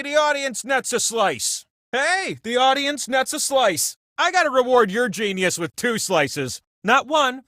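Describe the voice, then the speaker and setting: A man voicing an excitable, grandiose talking prize wheel that hosts a video game show in a playful, theatrical, mock-pompous manner. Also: very high voice, no echo, studio quality, full character voice. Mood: delighted. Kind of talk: animated video game announcer lines.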